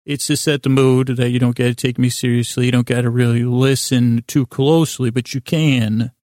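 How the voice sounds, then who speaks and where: An American man hosting a sleep podcast in a meandering, halting monologue full of self-interruptions, with a whimsical, self-deprecating manner. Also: mid-range voice, fairly even intonation, darkish tone, no echo, clean studio sound. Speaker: podcast host.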